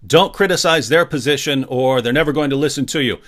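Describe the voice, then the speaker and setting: A man's voice: medium pitch, brisk, a bit bright. A man hosting a casual livestream interview, talking with playful showmanship. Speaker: host.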